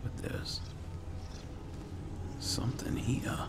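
A man with a gravelly voice giving casual gameplay commentary, muttering to himself as he thinks aloud. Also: very slow. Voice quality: gravelly, very low voice. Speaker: video game player and narrator. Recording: noisy recording with game ambience.

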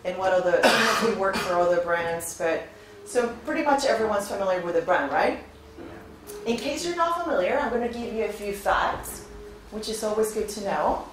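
A woman's voice: mid-range voice, animated, normal speed. A woman in her thirties, born in Venezuela and raised in Spain, giving an upbeat, chatty recruiting presentation to a class of students and often asking them questions, recorded from a far camera. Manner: upbeat, chatty, asks the audience frequent questions. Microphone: far camera, no visible mic. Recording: very echoey classroom, faint noise.

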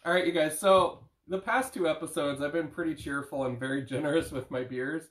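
A man's voice: a little high, a little fast, a bit bright. A man in his thirties co-hosting a comic book show, talking casually and upbeat from a living room couch on a camera mic. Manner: upbeat, hyped show opener. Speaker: podcast co-host. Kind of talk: casual chat. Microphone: far camera. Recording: roomy living-room echo.

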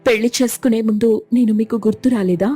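Woman playing a mellow, wronged young wife. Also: on the high side, steady tone, fast, breathy edge, faint music behind. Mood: hurt, resigned. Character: wronged, self-sacrificing wife, gentle elder sister. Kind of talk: animated moral story dialogue.

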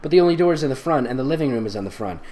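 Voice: mid-range voice, lively intonation, very fast, warm tone. A theatrical, sarcastic reader giving a comedic dramatic reading, breaking off into exasperated, mocking asides. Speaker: fanfiction reader, voice actor.

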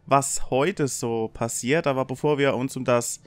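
A man's voice: mid-range voice, steady tone, a little slow, no echo. A man casually hosting and game-mastering an online tabletop role-playing stream.

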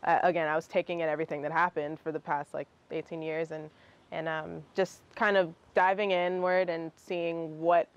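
A woman's voice: sits low, level tone, normal speed, muffled recording. A young woman, a singer whose first language is Russian, answering interview questions casually outdoors, restarting her sentences and laughing between phrases. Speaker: guest singer. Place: outdoor terrace with garden.

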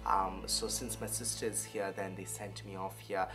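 A young man in his twenties from Malaysia with a Malaysian accent, speaking haltingly in a documentary interview, often searching for words. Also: sits low, little pitch movement. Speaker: student interviewee. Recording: music bed under voice. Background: music.

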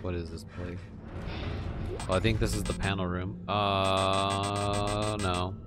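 A man with a low voice talks casually as he guides someone through a video game. Loud game music plays behind him. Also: flat delivery, slow pace. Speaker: gaming commentator guiding a player. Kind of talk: casual let's-play chat. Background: video game music and rumble.

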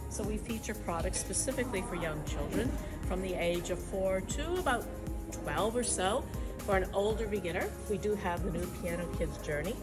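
A woman from a music publisher, giving a measured, informative product pitch at a convention booth. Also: animated. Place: convention exhibit hall.

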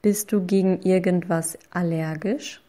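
A woman reading short phrases slowly and clearly, saying each one twice for learners. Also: on the low side, steady tone, dark tone. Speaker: language lesson voice. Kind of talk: slow repeated phrase reading.

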